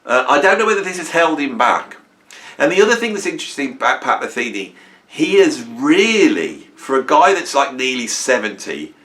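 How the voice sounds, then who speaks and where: A man's voice: mid-range voice, a bit bright. A man in his sixties hosting a music channel, talking casually to camera, enthusiastic and self-mocking with lots of restarts. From Britain.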